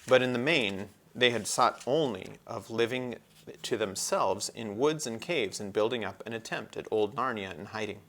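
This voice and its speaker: A man's voice: fairly low pitch, normal speed, a bit bright. A man narrating a children's storybook as a read-aloud. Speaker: storybook reader.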